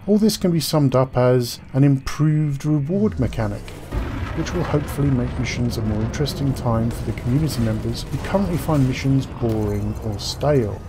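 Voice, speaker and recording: A man's voice: mid-range voice, moderate pace, background noise. A man giving scripted game news commentary as a gaming commentator, articulate and formal in his wording.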